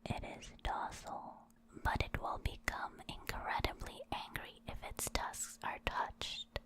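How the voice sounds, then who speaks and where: Slow, whispering ASMR woman. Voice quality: soft unvoiced whisper. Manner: slow, deliberate, soothing. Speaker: ASMR creator reading game creature entries.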